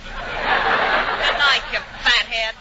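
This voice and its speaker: A brassy, high-pitched gangster's moll. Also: female voice, fairly even intonation, drawn-out words. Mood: indignant. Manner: sharp-tongued, ungrammatical tough talk. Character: brassy, slangy, scorned gangster-moll secretary woman. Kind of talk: 1940s radio comedy acting. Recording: muffled, noisy 1940 radio broadcast.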